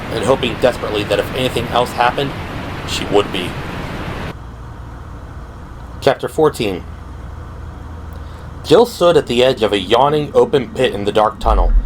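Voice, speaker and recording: A man reading scripted dramatic narration for an audio drama. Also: mid-range voice. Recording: clear background noise and ambience. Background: ambient sound effects.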